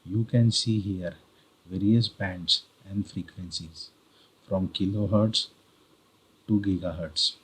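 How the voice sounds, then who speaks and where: A man with an Indian accent narrating an explanatory tutorial as host, deliberately, step by step in short phrases. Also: low voice, steady tone, husky, low-quality recording. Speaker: host of a how-to video channel.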